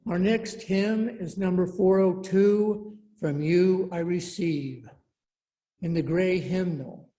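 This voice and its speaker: Slow, mellow-voiced woman leading worship. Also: fairly low pitch. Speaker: worship service leader. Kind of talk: church announcements and a read meditation.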